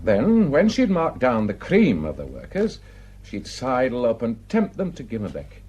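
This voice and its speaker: Husky, animated Northern English gossip. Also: male voice, medium pitch, measured pace, dull audio, full character voice. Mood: wry indignation. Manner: garrulous, emphatic, colloquial storytelling. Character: gossipy plain-spoken Yorkshire village man, indignant storyteller. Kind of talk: radio drama acting.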